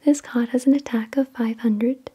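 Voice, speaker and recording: A woman whispering in a breathy, unvoiced voice, reading in a gentle, measured ASMR style. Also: a little high, fairly even intonation. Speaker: ASMR host. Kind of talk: whispered ASMR card unboxing, scripted reading.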